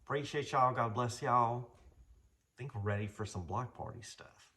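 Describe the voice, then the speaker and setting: A man's voice: medium pitch, normal speed, bright, forceful tone, a little hiss or hum. A man hosting a casual show-and-tell vlog, talking in a folksy, rambling, enthusiastic way. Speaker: vlog host, vintage garage restorer.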